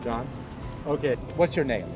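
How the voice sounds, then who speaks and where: A man's voice: mid-range voice, lively intonation, moderate pace, loud music behind the voice. A man interviewing strangers outdoors on a campus with a handheld mic, echoing their answers back. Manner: repeats answers back, stumbles on openers. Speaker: street interviewer. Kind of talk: quick casual questioning.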